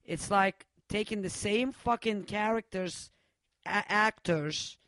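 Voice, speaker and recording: Profane radio host talking slow. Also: male voice, sits high, bright, forceful tone, dry recording. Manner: profane, loose, halting with many uhs. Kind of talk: casual radio chat.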